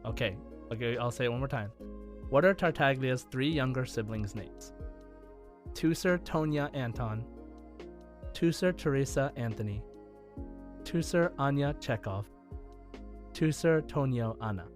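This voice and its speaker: A man's voice: mid-range voice, a little slow, long pauses, soft background music. A man co-hosting a podcast, reading out quiz questions and chatting casually between them. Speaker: podcast co-host running a quiz. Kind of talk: casual quiz-show banter with read-out questions.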